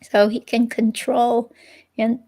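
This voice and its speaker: Hesitant, creaky-voiced ex-girlfriend, read by a woman. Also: a little high, level tone, slow, warm tone. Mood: resigned. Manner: hesitant, rambling, filler-laden. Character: hurt, rambling ex-girlfriend questioned by police. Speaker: reader in a dramatic reading of an interview transcript. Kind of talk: conversational dramatic reading of a transcript.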